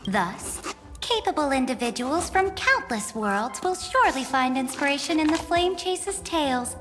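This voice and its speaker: An imperious, pompous queen for a video game. Her high voice moves slowly and regally with wide swings of pitch. Long reverb surrounds it, with music faintly behind. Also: animated, a bit bright. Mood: grandiose. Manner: regal, pompous, measured pronouncements. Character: haughty imperious young female ruler, grandly amused.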